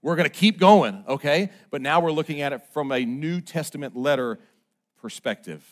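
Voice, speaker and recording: Male pastor, high voice, easygoing sermon delivery that drops from emphatic bursts to quiet asides. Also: moderate pace. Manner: chatty, self-mocking, checks in with "okay?"; swings from loud and emphatic to low and soft. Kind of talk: conversational sermon.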